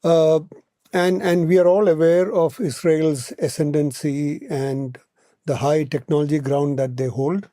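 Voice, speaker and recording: A man with an Indian accent explaining things as a podcast guest, in a measured, halting way that often repeats words. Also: on the high side, steady tone, warm tone, no echo, clean studio sound. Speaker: podcast guest, policy analyst.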